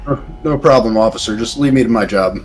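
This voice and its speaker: A man's voice: lowish voice, fast talker. A man talking casually as a player in a tabletop role-playing game. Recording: faint background noise.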